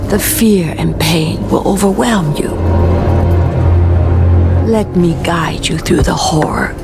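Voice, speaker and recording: A menacing female cult leader in a video game. She speaks very slowly in a low, hushed voice, coaxing and deliberate. Loud, eerie music swells behind her. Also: creaky. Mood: solemn, coaxing. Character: calm menacing Mongol shaman leader, the Eagle, woman. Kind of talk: dramatic video game acting.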